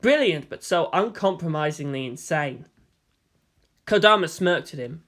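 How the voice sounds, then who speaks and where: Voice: medium pitch, animated, a little slow, a bit bright. A narrator giving a dramatised reading of a story. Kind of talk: dramatised fan fiction reading.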